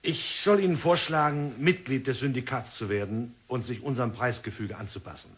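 An actor as a stiff, formal business envoy. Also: male voice, medium pitch, brisk, a bit bright, lightly acted. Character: formal male business envoy, polite and persistent. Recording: old radio play recording.

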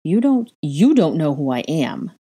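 A woman narrator acts out a proud, hot-tempered young father from an audiobook. The voice sits low and dark, with a husky grain. The delivery is terse, growling and confrontational. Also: very animated, a little slow, exaggerated voice. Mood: angry. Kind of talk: acted audiobook dialogue.

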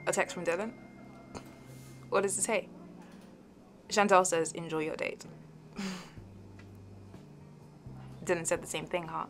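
A woman's voice: fairly low pitch, fairly even intonation, unhurried, pauses often, background noise. A woman playing a playful, shyly fond young best friend in an intimate audio roleplay, giggly and hesitant. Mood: amused, incredulous. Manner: giggly, hesitant, soft trailing asides. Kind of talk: intimate audio roleplay, one side of a conversation.

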